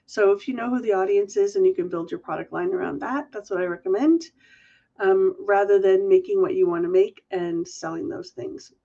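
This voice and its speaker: A woman's voice: mid-range voice, fairly even intonation, moderate pace, warm tone, lo-fi sound. A woman hosting a live question-and-answer stream, nasal and congested from a cold, chatting through viewer questions. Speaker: host.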